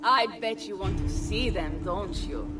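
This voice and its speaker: A woman's voice: medium pitch, a little slow, far from the mic, loud music behind the voice, natural voice. An actress playing a fierce, brash Italian noblewoman in a video game, taunting and swearing with an Italian accent. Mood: mocking, crude. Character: fierce, foul-mouthed Italian noblewoman and defiant mother. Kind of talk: video game cutscene acting.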